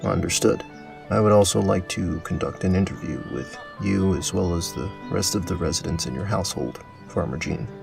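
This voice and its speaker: Man acting grave, gravelly, low-voiced knight. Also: moderate pace, over music. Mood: formal. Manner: formal, terse, dry. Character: grave, determined knightly captain from a suffering land. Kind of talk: fantasy audio drama acting.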